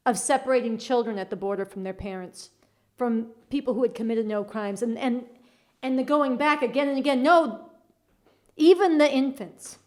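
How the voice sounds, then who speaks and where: Earnest woman co-host, higher voice. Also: darkish tone. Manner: earnest, emphatic, repeating phrases. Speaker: talk show co-host. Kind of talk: political commentary.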